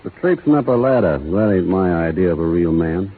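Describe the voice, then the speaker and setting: An actor playing a laconic young cowboy who talks in a slow, folksy rural drawl, in a 1930s radio drama. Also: male voice, deep voice, lively intonation. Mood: opinionated. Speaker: lead actor in a radio play. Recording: tinny 1930s radio broadcast with faint hiss.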